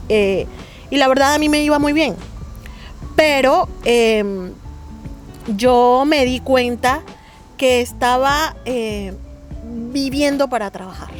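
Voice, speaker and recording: Brief: middle-aged Colombian woman, Mexican-tinged accent, fairly high voice, hesitant and earnest, soft music underneath. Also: slow pace, pauses often. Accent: Colombian Caribbean with Mexican touches. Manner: earnest, hesitant with frequent fillers. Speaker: interview guest. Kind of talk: casual interview conversation.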